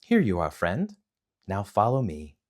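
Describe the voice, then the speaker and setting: A man's voice: on the low side, huge pitch swings, a little slow. A man voicing a brave young hero boy in a storybook, answering plainly and firmly. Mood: steady. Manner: plain, firm replies.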